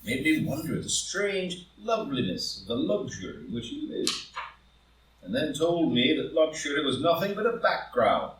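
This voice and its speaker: A man acts out a proud gentleman of wealth and ambition in a live play reading. His fairly high voice moves animatedly through grand, impassioned phrases. He sounds set back from the microphone. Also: big pitch swings, normal speed. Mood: wistful, fascinated. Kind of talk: live group play reading, stage drama. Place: indoor room, live in-person group reading. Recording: roomy live recording, distant from mic.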